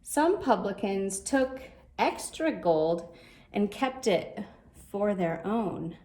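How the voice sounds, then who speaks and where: A woman reading a rhyming children's story aloud in a measured, expressive way. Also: medium pitch. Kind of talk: scripted storybook narration in rhyme.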